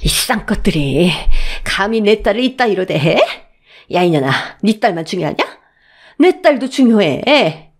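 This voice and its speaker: A woman's voice: medium pitch, sing-song, normal speed. A woman voicing a furious grandmother in her eighties, cursing and shrieking at her daughter's in-laws in an audio story. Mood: enraged.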